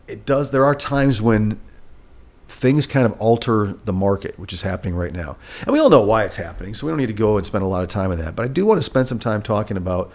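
A man's voice: on the low side, a little mellow. A middle-aged man with a stuffed-up, nasal voice, hosting a solo podcast from a rented apartment living room, talking in a rambling way and correcting himself as he goes. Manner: rambling, self-correcting, candid. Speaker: podcast host. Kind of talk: casual solo podcast talk. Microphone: studio mic on a boom arm.

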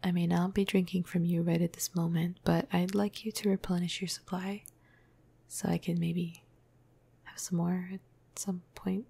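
Flirty, gentle female vampire for ASMR roleplay woman performer: breathy half-whisper, dark mellow tone, very even pitch, playful casual delivery. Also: normal speed. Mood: flirty, fond. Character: flirty, cuddly, teasing female vampire crush. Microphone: close microphone.

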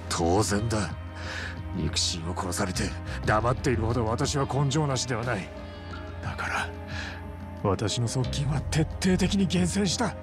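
An actor playing a proud, wounded Chinese mafia boss in a game cutscene, a middle-aged man speaking in a formal, solemn, measured way. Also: medium pitch, expressive, over music, natural voice. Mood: bitter, resolute. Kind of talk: Japanese video game cutscene acting.

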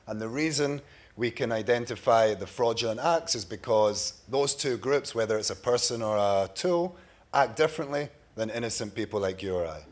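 A man in his thirties with a Scottish accent, giving a prepared startup pitch at a conference. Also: normal speed. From Scotland. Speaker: startup pitch presenter, sales executive. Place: large bright conference room, at a podium. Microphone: podium microphone.

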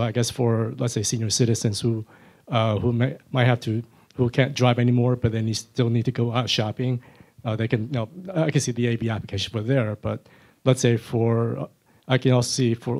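A man in an audience putting an informal question to a panel, in a halting, rambling way. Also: mid-range voice, gravelly, darkish tone. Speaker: audience member asking a question. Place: indoor auditorium audience.

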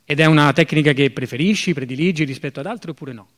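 A man's voice: fairly high pitch, quick pace, a crowd in the background. A man in his thirties presenting awards, reading jury citations into a stage microphone. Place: theatre stage. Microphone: stand microphone. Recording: music under stage microphone speech. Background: music.

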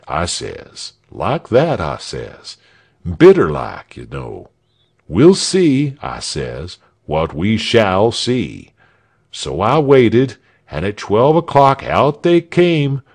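A man voicing a gruff, uneducated soldier in armor who grumbles in rough dialect. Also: on the low side, voice pushed up, exaggerated voice. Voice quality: rough dialect, dropped g's. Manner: grumbling, boastful.

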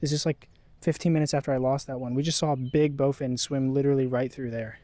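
A young man vlogging while fishing outdoors by a river, talking excitedly to viewers on an action camera. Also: mid-range voice, quick pace, dry recording. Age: young adult. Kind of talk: casual outdoor vlog.

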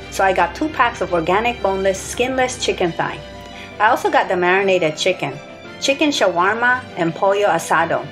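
A woman in her thirties or forties reading a scripted voice-over, listing her grocery purchases in an even, matter-of-fact way. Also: medium pitch. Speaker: grocery haul vlogger. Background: soft background music.

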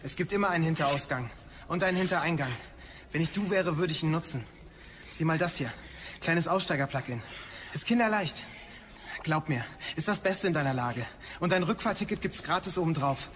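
Slick street dealer, audio drama, flippant, persuasive, higher-pitched, clear background noise. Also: male voice, expressive, a little fast, a bit bright. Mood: teasing, persuasive. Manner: flippant, teasing, smooth-talking. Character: cocky, slick male street hustler, casually persuasive. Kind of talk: German audio drama acting.

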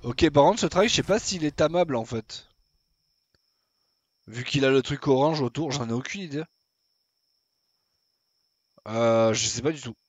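A man's voice: mid-range voice, a little slow, pauses often, slightly rough. Chatty young man narrating his gameplay. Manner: chatty, swears casually, talks to himself while playing. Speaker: gaming YouTuber. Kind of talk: casual gameplay commentary.

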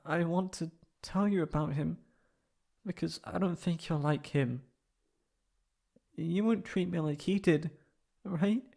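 A man voicing a wounded, anxious young catboy in an emotional ASMR roleplay, halting and stammering tearfully. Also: on the high side, gravelly, darkish tone. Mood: pleading, hesitant.

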